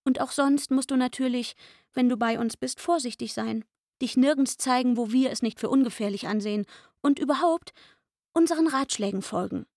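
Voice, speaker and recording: Flehende junge Magd, hohe, knarrende Stimme. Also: female voice, high voice, moderate pace, darkish tone, no echo, clean studio sound. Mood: coaxing, pleading. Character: pleading young chambermaid luring a man into secret shelter. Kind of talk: literary radio play acting.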